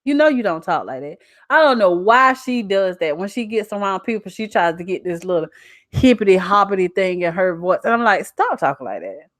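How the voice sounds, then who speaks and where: A woman's voice: medium pitch, very animated, a little fast, a bit bright, no room sound, studio quality. A young adult woman with a Southern US accent, talking casually as a channel host in a folksy, emphatic way on a livestream. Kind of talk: casual livestream chat reading out viewer comments.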